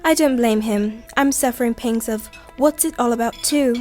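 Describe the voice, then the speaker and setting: A woman plays an affectionate young fiancée in a radio drama. Her high voice is tender, coaxing and a little fretful, over faint music. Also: normal speed, darkish tone, lightly acted. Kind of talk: dramatic radio play acting.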